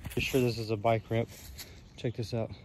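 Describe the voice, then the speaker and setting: A man's voice: medium pitch, level tone, fairly quick. A young man giving casual, off-the-cuff commentary in a laid-back, slangy way as he vlogs on a handheld camera. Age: young adult. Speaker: vlogger. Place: outdoors on a wooded trail. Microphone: handheld phone or camera at arm's length. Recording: outdoor nature ambience, faint noise.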